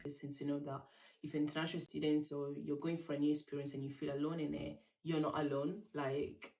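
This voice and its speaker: Low-voiced woman in an echoey room. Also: flat delivery, brisk, dark tone, recorded from a distance. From northern Italy. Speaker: podcast host. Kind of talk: casual chat.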